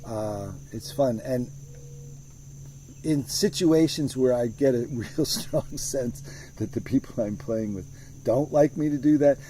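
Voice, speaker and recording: A man's voice: mid-range voice, slow pace, warm tone, low-quality recording. A man being interviewed about his music, talking in a thoughtful, rambling way with many restarts, recorded outdoors in the countryside. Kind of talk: relaxed conversational interview. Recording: faint outdoor noise with crickets.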